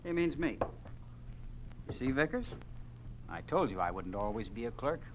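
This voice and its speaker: Man as smug party clerk, mid-pitched, lively swings, noisy vintage radio drama. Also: normal speed, close to an everyday voice. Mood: smug, deferential. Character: smug, obedient clerk turned party accountant. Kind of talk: 1950s radio drama acting. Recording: clearly noisy old radio recording.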